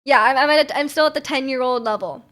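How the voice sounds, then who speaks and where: A woman's voice: high-pitched, fast, fairly bright tone. A woman in her twenties, a native Japanese speaker, chatting casually to livestream viewers in a giggly, rambling, self-deprecating way. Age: 20s.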